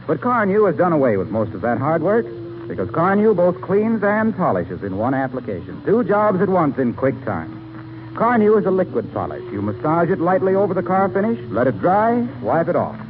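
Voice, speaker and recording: A man's voice: a little high, narrow, tinny audio, noisy background. A man announcing a scripted 1940s radio program with polished, emphatic delivery. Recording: thin vintage radio broadcast recording.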